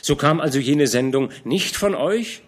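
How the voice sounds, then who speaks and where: A man voicing a proud, hot-tempered young nobleman who speaks earnestly and indignantly, with a lively voice. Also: a little high, measured pace, pitched above the performer's own voice.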